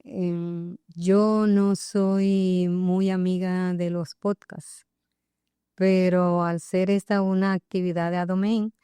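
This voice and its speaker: A woman from Colombia's Caribbean coast with a smooth, clear voice on the lower side. She speaks slowly and hesitantly, pausing between phrases as she answers in a relaxed conversation. The sound is dry and close. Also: dry recording. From Barranquilla, Colombia. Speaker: interview guest, physician and professor. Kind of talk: casual podcast conversation.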